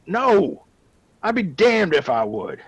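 A shaken, fearful man played by an actor in a radio drama, warning gravely. His fairly high voice is creaky and swings widely in pitch as his agitation shows. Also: big pitch swings, slow pace, no echo, full character voice, rough recording. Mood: alarmed, insistent. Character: agitated, fearful old soldier guest warning of a curse. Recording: faint background noise and ambience.

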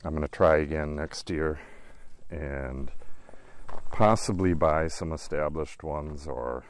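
A man's voice: extremely low pitch, slow pace, a little hiss or hum. A man casually walking through his garden and talking about his plants, rambling and thinking aloud as he goes. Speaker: gardening channel host. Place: outdoors in a garden.

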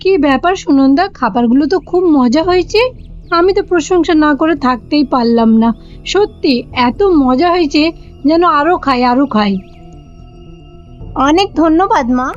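A woman's voice: sits high, expressive, normal speed. A woman voicing a bossy, hot-tempered middle-aged mother-in-law in a comedy cartoon, with a Bengali accent and an exclamatory manner. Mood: pleased. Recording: dull, lo-fi audio with music behind.

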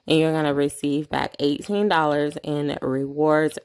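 Brief: chatty couponing vlogger woman, low gravelly voice, phone-recorded at home. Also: little pitch movement, measured pace, darkish tone. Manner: chatty, rattles off prices and totals. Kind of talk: casual shopping haul walkthrough.

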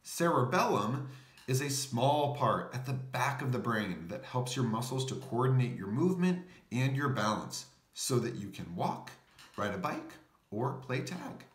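Man, storybook reader, very varied intonation, unhurried pace, dry close sound, explanatory. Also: mid-range voice, sing-song, reverberant. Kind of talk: children's picture book read-aloud.

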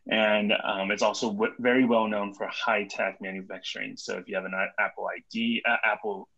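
A man in his thirties from Taiwan introduces himself to a webinar audience. He is friendly and slips in little jokes. His voice is fairly low, and the video call audio is dull. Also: flat delivery, fairly quick, vocal fry, bright, forceful tone. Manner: friendly and joking. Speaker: business development officer and co-presenter. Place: indoors on a video call with a virtual coastal background. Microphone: computer mic on a video call.

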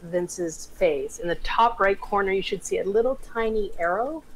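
A woman's voice: mid-range voice, normal speed, low-quality recording. A woman talking casually in an online group voice chat. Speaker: table read group member. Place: online voice call. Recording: faint noise, online voice chat.

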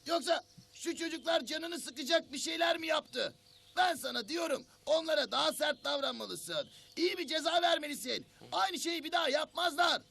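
Squawky high-pitched grumpy cartoon bird. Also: male voice, normal speed, creaky, pushed and bright, exaggerated voice. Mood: grumbling, scolding. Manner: theatrical, exclaiming, nagging. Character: grumpy, self-important, chatterbox cartoon bird. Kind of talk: children's cartoon voice acting.

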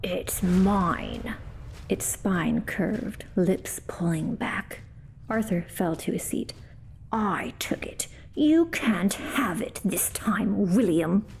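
A woman's voice: mid-range voice, animated, slow, gravelly, darkish tone, whispered. A woman voicing a spoiled, greedy male dragon who whines and sulks over his gold. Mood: sly. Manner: petulant, whiny.

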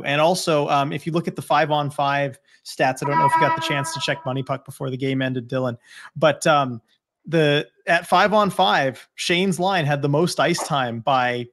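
A man's voice: fairly high pitch, normal speed, a bit bright, slight background noise. A man co-hosting a sports show, discussing things in a casual, hedging way. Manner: hedging, conversational. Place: indoor room, video call.

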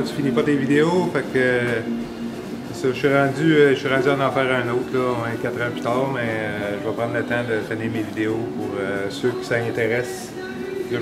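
A man in his forties with a Québécois French accent, explaining a repair step by step in a casual, rambling way with fillers, recorded in a workshop. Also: mid-range voice. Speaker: snowmobile mechanic. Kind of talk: hands-on repair tutorial. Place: indoor workshop garage.

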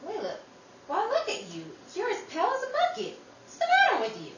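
Woman doing a teasing 1930s big sister for radio play, high voice, swoops all over, echoey. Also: a little fast, full character voice. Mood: fussing. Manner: animated, wisecracking. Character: wry, teasing eldest sister, the peacemaker. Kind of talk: old-time radio drama acting. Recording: roomy, distant mic, faint noise.

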